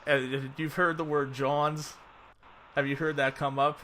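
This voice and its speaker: A man's voice: a little high, flat delivery, unhurried, bright, forceful tone, slight background noise. A man hosting a casual fantasy football podcast, rambling with lots of fillers and restarts. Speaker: podcast host. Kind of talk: casual sports podcast chat.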